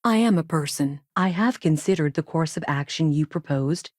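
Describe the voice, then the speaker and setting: Game role: self-aware probe AI. Low female voice, very dry sound, robotic and literal, clipped labelled statements, earnest and logical. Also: expressive, normal speed, darkish tone, no echo. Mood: matter-of-fact. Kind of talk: scripted video game dialogue.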